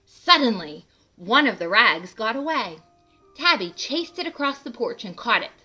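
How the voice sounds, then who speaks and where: A woman reading a picture book aloud to children in an animated way, adding asides and questions to the listeners. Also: a little high, moderate pace, breathy edge, soft background music, rough recording. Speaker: read-aloud host for children.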